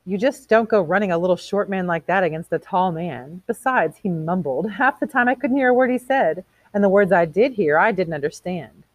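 A woman's voice: fairly low pitch, expressive, fairly quick, few pauses, dry recording, voice pushed up. A woman voicing a shallow, prim society lady from a novel, chattering in an affected, gossipy way. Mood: disdainful gossip.

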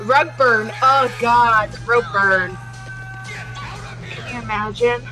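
A woman's voice: a little high, slow, over music. A woman co-hosting a podcast, casually reacting to a film with her friends. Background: film soundtrack playing clearly.

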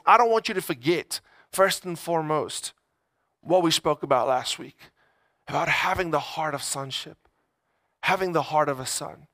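A man's voice: on the high side, fairly bright tone, no room sound, studio quality. A man preaching a conversational sermon, emphatic, repeating phrases and voicing people's inner thoughts in everyday words.

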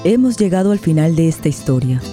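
A woman's voice: deep voice, expressive, brisk, dark tone. A woman narrating a scripted radio play in a dramatic style. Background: faint guitar music.

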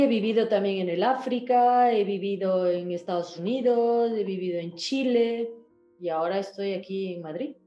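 A woman's voice: mid-range voice, warm tone, roomy sound, highs cut off. A woman talks casually as an interview guest, in a chatty, rambling way, often checking back with the listener. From Ecuador.

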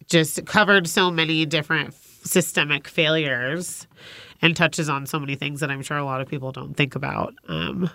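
A woman's voice: low voice, steady tone, normal speed, sharp tone. A woman hosting a casual interview, inviting her guest to share her story with hesitant questions. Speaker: host.

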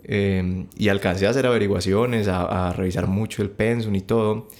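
A young man with a Bogotá Colombian accent, telling his story as a podcast guest in a halting, relaxed way with many restarts. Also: lowish voice.

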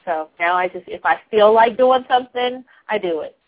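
A soft-spoken woman talking reflectively in a casual group discussion, laughing now and then. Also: medium pitch, moderate pace. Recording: tinny phone-like line.

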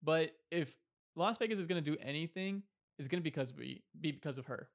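A man's voice: a little high, brisk, gravelly. A man co-hosting a sports talk show, giving casual analysis of players and teams. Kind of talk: sports talk commentary on a fictional league.

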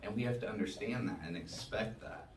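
A man leading a church service, reading scripture with measured emphasis and preaching. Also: lowish voice, background noise. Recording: roomy sound from a distance.

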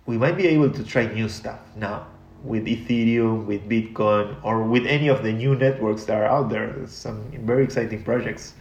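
A man's voice: lowish voice, darkish tone, lo-fi sound, slight background noise. A man from Argentina, speaking as an interview guest in a reflective, rambling way with many restarts. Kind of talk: casual interview talk. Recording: echoey room.

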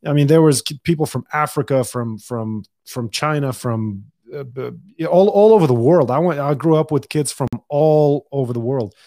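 A man's voice: mid-range voice, moderate pace, hardly any pauses, mellow, no echo, clean studio sound. A man who grew up in Dubai, hosting a podcast in casual conversation, often restarting his sentences and laughing easily. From Lebanon, raised in Dubai. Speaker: podcast host.

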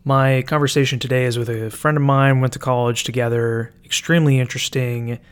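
A man's voice: mid-range voice, steady tone, a little fast, smooth. Chatty young male podcast host. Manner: upbeat, chatty, filler-heavy. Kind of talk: podcast intro and interview hosting.

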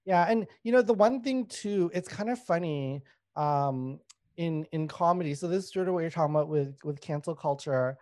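A man's voice: a little high, moderate pace, a little mellow, dry recording, studio quality. A man in his thirties from Canada, a guest comedian talking candidly in a casual podcast chat, laughing and swearing as he goes. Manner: candid, laughing, swears freely, many fillers.

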